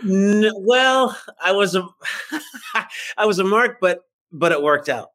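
A man's voice: sits high, lively intonation, slow pace, a bit bright. A middle-aged or older man chatting as a podcast guest, telling anecdotes in an informal, self-deprecating way. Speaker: podcast guest, fiction writer. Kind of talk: casual anecdotal chat.

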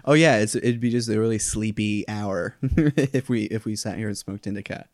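Male comic guest from Florida, low sleepy voice, rambly and giggly. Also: normal speed. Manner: rambling, filler-heavy, giggly. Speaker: stand-up comedian guest. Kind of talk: casual chat.

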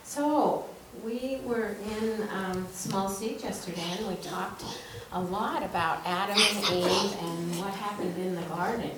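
A woman's voice: mid-range voice, measured pace. A woman leading a children's message at church, talking informally in simple teacherly phrases and asking questions. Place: large echoey indoor church. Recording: roomy, distant church recording with faint noise.

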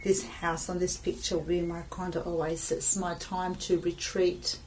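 Woman, low-pitched, thoughtful and halting, distant mic with faint hiss. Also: little pitch movement, darkish tone. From Australia. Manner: reflective, halting, laughs often. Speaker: business owner talking about her drawing. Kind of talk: casual chat.

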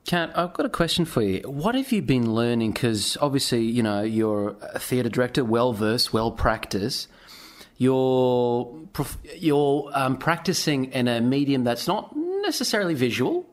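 A man's voice: medium pitch, big pitch swings, a little slow. A man hosting a podcast interview, putting questions to his guests in a casual, chatty way. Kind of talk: casual chat.

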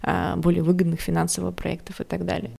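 A woman's voice: on the low side, fast, vocal fry, breathy edge. A woman who runs a studio, talking casually in an interview, with loose restarts and frequent laughter. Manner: lively, laughs often, talks in loose restarts. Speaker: head of a visual effects studio.

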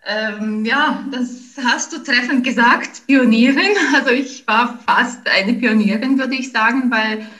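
A woman's voice: a little high, measured pace, hardly any pauses, fairly bright tone. Ukrainian-born woman researcher, thoughtful. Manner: thoughtful, many fillers and restarts. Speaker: linguistics researcher. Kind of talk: interview answers.

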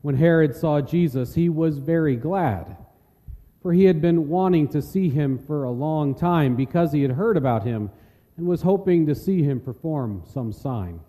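Reverberant church, male narrator, medium pitch, mellow, solemn measured scripture reading. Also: animated. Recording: long church hall reverb.

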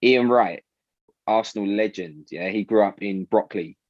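A man with a South London accent chatting casually about his radio show, animated and full of fillers and restarts. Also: on the low side, a little slow, dry recording, highs cut off. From South London, England. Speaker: radio presenter, guest. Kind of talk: casual interview chat.

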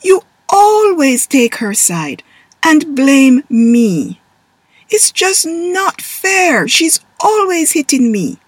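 A woman's voice: high voice, very animated, extremely slow, dry recording, voice pushed up, full character voice. A woman doing a whiny young boy. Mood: sulky. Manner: whiny and indignant. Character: young boy, big brother, quick to sulk.

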